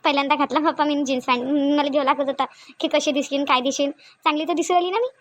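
A woman's voice: high voice, steady tone, fast, lightly acted. A woman voicing a shy, naive young newlywed wife in a cartoon, fretful and exclaiming, with a Varhadi Marathi accent from Vidarbha. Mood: bashful worry. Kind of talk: cartoon dialogue acting in colloquial dialect.

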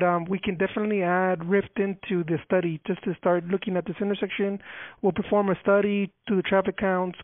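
A man's voice: high-pitched, no room sound. A man from a transportation office explaining the process over the phone, with many fillers and restarts. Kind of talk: phone call. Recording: tinny phone line, dry.

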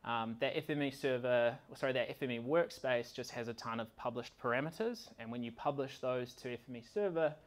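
A man presenting an informal technical demo at an indoor meetup, speaking casually and a little haltingly as he works through the software. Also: medium pitch. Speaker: conference speaker, software consultant. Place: indoor meetup venue with large windows and projector screen.

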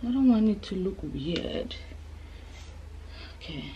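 Female vlogger, twenties. Medium pitch, informal thinking-aloud delivery, noisy home camera sound. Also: animated, slow pace, mellow, muffled recording. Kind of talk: casual chat to camera. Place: indoors, bedroom and bathroom at home.

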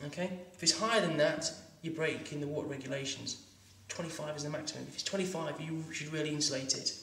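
Guy teaching plumbing in a workshop, highish voice, bit far from the mic, echoey room. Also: steady tone, fast talker, gravelly, sharp tone, a little hiss or hum. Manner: practical, instructive, stumbling restarts. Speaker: plumbing instructor. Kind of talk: hands-on demonstration lesson.

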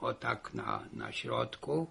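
An old rural grandfather, folksy and talkative, with a husky, creaky voice. He spins his stories slowly and haltingly, doubling back on words, in the manner of a 1960s radio drama. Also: very deep voice, wildly swooping pitch, sharp tone, heavily acted. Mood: storytelling. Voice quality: husky, gravelly. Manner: halting, repetitive rustic storytelling. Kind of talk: 1960s Polish radio serial acting.

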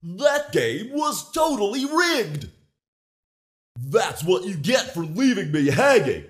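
A goofy animatronic bear from a horror game, forever aggrieved. The pitch is high and leaps wildly, with drawn-out, petulant exclamations. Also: male voice, very animated, fairly bright tone, heavily acted. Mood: petulant, indignant. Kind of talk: comic game voice lines.

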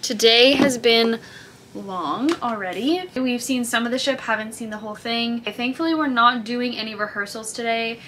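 A woman's voice: fairly high pitch, fairly bright tone, slight background noise. Chatty, upbeat young woman vlogging. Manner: chatty, upbeat, quick asides and laughs. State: tired. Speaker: cruise ship dancer vlogger. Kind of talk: casual vlog talking to camera. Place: hotel room, then small ship cabin. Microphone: camera on a tripod or held at arm's length.

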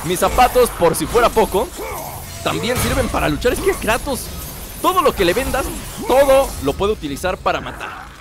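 A young man with a Mexican accent, stuffy from a cold, giving playful, jokey commentary over a video game he is playing, breaking into excited shouts. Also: high voice, moderate pace. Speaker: gameplay commentator. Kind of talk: casual let's-play commentary. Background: game music.

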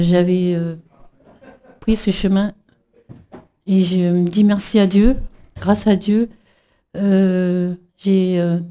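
A middle-aged woman from New Caledonia giving an emotional religious testimony, halting with many fillers and restarts. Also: mid-range voice, warm tone. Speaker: church member giving testimony.

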